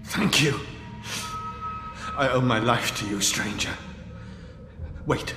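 An actor playing a wounded older monk healer in a fantasy game, strained with pain, halting and pleading. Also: male voice, mid-range voice, close to an everyday voice. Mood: weary. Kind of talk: fantasy game cutscene acting. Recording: echoey hall with music underneath.

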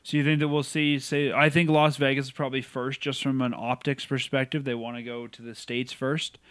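A man's voice: medium pitch, little pitch movement, fairly quick, a bit bright, no echo. Questioning Canadian podcast man. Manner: asks questions, thinks aloud. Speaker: podcast co-host. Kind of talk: casual sports chat.

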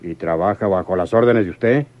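An actor in a radio drama playing a ruthless, commanding crime boss who speaks curtly and questions like an interrogator. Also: male voice, sits low, normal speed, dull audio, close to an everyday voice. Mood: stern, probing. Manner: curt, interrogating, domineering. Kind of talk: radionovela acting.

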